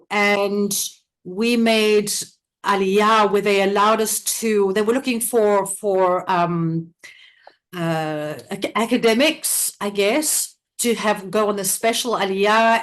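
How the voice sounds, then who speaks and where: A middle-aged woman from South Africa, talking casually as an interview guest, chatty and quick to laugh, with many restarts and fillers. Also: mid-range voice. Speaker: interview guest, theatre company director.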